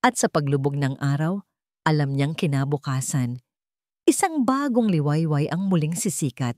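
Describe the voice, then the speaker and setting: A woman storyteller with a fairly low voice reads a sentimental tale. Her delivery is measured and earnest, clearly scripted narration. The recording is dry and close, with little room sound. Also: expressive, darkish tone, no room sound.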